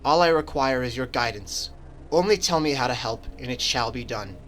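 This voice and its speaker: A man's voice: medium pitch, moderate pace, a bit bright, a little hiss or hum, lightly acted. A man playing an earnest, frightened scientist who pleads formally to be allowed to help, in a radio drama. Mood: earnest, afraid.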